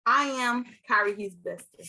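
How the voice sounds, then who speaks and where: Teenage girl, youth leader, energetic scripted talk, bright tone. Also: on the high side, moderate pace, gravelly, poor audio. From Milwaukee, Wisconsin. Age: teens. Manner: energetic, upbeat.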